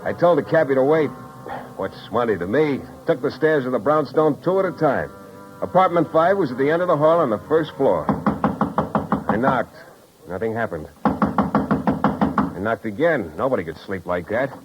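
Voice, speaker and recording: A man's voice: mid-range voice, lively intonation, moderate pace, pauses often, close to an everyday voice. An actor playing a cocky, sardonic private detective in a 1950s radio drama, wisecracking and narrating his own case. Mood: sardonic narration. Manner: wry, sardonic. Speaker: lead actor and first-person narrator. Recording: muffled old radio recording with music.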